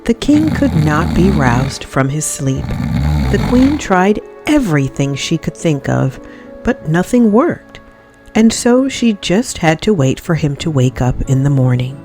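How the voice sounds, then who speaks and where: A woman with a low voice reads a picture book aloud for children. She swings widely in pitch and phrases each line dramatically and deliberately. Music plays softly behind her. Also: sing-song. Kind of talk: expressive children's read-aloud narration.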